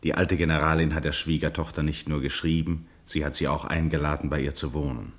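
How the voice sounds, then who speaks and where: Very deep-voiced male narrator. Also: flat delivery, a little fast. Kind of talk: scripted radio play narration.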